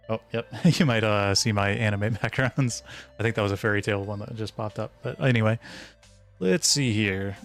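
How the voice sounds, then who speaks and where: Man, lowish voice, casual streamer, hesitant thinking aloud, music playing behind. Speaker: streamer, indie game developer. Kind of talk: casual live stream chat while working. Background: background music.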